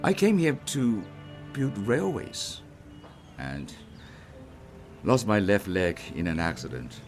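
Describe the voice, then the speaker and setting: An actor playing a poor, one-legged Chinese railway laborer who now plays music on the street, speaking slowly and humbly. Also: male voice, fairly low pitch, very animated. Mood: weary. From China. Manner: slow, humble and sorrowful. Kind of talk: audio drama acting.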